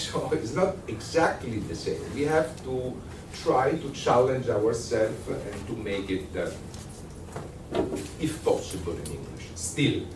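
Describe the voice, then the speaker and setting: A man with an Italian accent giving a workshop lecture, conversational and halting, with many fillers and restarts. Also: mid-range voice. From Italy. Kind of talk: workshop lecture with audience questions. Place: lecture room. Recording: echoey room, distant mic, noisy.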